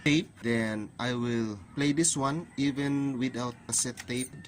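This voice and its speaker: A man's voice: mid-range voice, fairly even intonation, slow. Male repair channel host, mid-range voice, plain step-by-step instruction, non-native phrasing, noticeable background noise. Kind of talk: hands-on repair tutorial narration. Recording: clearly noisy recording with ambient hiss.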